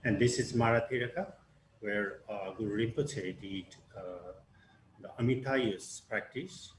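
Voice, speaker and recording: A man moderating a webinar and presenting slides, with lots of pauses and fillers. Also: lowish voice. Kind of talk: hesitant presentation with many fillers. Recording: echoey room with faint hiss.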